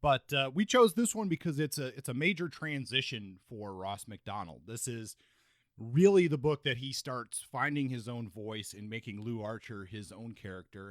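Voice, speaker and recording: Male podcast co-host, mid-pitched, conversational book talk, dry recording. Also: fairly bright tone, no room sound.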